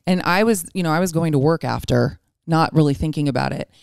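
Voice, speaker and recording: Woman in her 40s just chatting, candid n self-deprecating, clean smooth voice, no room echo. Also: deep voice, fast talker, a little mellow, clean studio sound. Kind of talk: casual chat.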